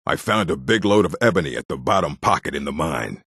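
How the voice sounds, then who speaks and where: An actor playing a gruff, proud orc in a fantasy video game, blunt and stern, with a deep, husky, gravelly voice. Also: male voice, lively intonation, a little fast, a bit bright, no echo, studio quality, full character voice. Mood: plain, brooding. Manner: blunt, stern, terse, solemn. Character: gruff, proud, hostile male orc of a stronghold.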